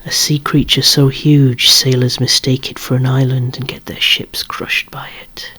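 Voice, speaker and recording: Casting: hushed, breathy ASMR whisperer, a chatty collector showing off toys with wry, rambling asides. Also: medium pitch, little pitch movement, a little slow. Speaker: toy collector presenting own collection. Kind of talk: whispered ASMR show-and-tell of a toy collection.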